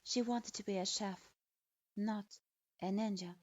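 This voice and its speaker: A woman narrating fan fiction aloud in a steady storytelling manner, recorded with a dull lo-fi sound. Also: mid-range voice, measured pace, no echo.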